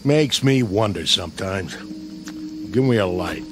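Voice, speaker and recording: An actor with an American accent playing a gruff, sarcastic, war-weary army sergeant in a radio drama. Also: male voice, deep voice, expressive, a little slow, noisy background. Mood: wry, weary. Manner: sarcastic, wisecracking, blunt.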